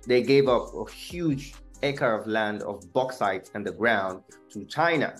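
Man, from nigeria, young adult, very low voice, measured, earnest, interview guest. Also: expressive, a bit bright, faint music behind. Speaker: guest musician. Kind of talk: interview conversation.

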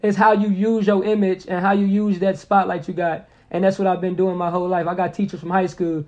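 Young guy from indianapolis, early 20s, talks real fast, fairly high voice, earnest, says things twice, kinda muffled audio. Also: level tone, a little mellow. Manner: earnest, motivational, repeats phrases with lots of slang. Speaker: podcast guest. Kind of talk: casual podcast conversation.

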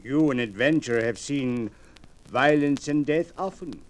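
An actor playing a stern, sceptical Egyptian police official in a radio drama, with formal, deliberate and courteous speech. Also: male voice, mid-range voice, lightly acted, rough recording. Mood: sceptical, dry. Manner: formal, deliberate, interrogating, uncontracted phrasing. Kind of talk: 1940s radio drama acting.

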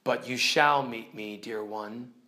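Man as a gentle, solemn great lion. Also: medium pitch, a little slow, a little hiss or hum, voice pushed down. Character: majestic gentle divine lion, solemn and loving.

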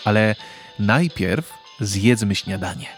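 A man voicing a gentle, loving grandmother in a children's story read aloud. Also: lowish voice, animated, slow pace, soft background music. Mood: tender. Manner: soft and tender.

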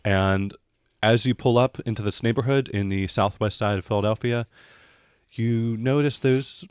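A man's voice: lowish voice, steady tone, normal speed, darkish tone, smooth, dry recording, studio quality. A man running a tabletop role-playing game as game master, improvising the narration in a conversational way.

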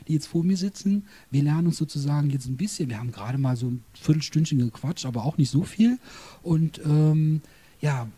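A man's voice: a little high, dark tone, husky. A man hosting a podcast interview in a guest's apartment, chatty and rambling, with jokes along the way. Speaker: podcast host.

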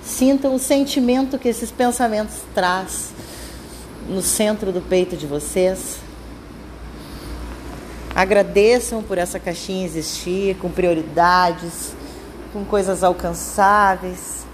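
A woman with a Brazilian accent leading a guided meditation in a calm, soothing, instructing manner with drawn-out phrases. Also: mid-range voice, a little hiss or hum, rough recording.

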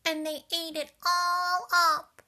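A woman voicing a small whiny bear cub in a storybook reading, high, loud and drawn out. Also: level tone, slow, heavily acted. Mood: upset.

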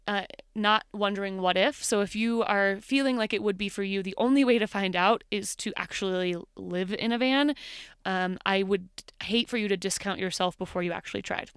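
A woman's voice: mid-range voice, fairly bright tone, smooth, no room sound, clean studio sound. A woman co-hosting a podcast, chatting candidly about everyday life and laughing often. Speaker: podcast co-host. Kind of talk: casual chat.

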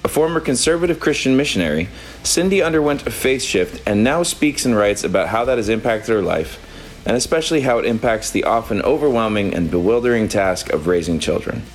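A man hosting a podcast, reading a scripted introduction and then asking questions in a relaxed, friendly way. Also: lowish voice, brisk, soft background music, poor audio. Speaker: podcast host.

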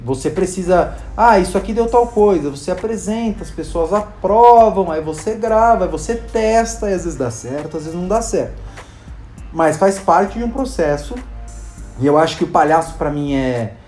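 A man's voice: sits high, animated, normal speed, a little mellow, reverberant, faint music behind. A Brazilian man, a video creator and hospital clown, telling stories in a casual chat with many fillers and acted-out quotes. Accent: Brazilian Portuguese. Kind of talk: casual conversational storytelling.

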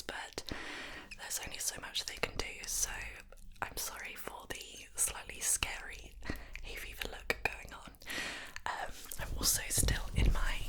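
A woman chatting in a soft ASMR whisper while doing her makeup, slightly nasal from hay fever, with many relaxed "um"s. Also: low-quality recording. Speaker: beauty YouTuber. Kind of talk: whispered ASMR get-ready-with-me chat.

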